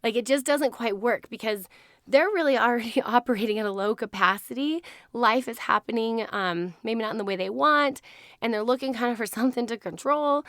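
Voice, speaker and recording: A hoarse woman hosting her podcast in a casual, chatty way, laughing often. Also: on the high side, a little fast, a bit bright, dry recording, clean studio sound. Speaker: podcast host. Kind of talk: casual chat.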